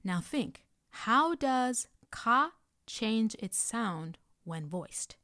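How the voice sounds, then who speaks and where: A woman's voice: a little high, very animated, very slow, bright, forceful tone, no echo, studio quality. A woman giving a scripted language lesson as a tutor, guiding pronunciation practice with carefully enunciated examples. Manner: clear instructional delivery, carefully enunciating example sounds.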